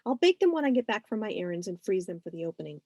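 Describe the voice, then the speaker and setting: A woman playing a good-humoured shop owner in her thirties, brisk, wry and encouraging, in a story reading. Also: mid-range voice, very animated, dark tone, dry recording, lo-fi sound. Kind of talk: audiobook-style character reading.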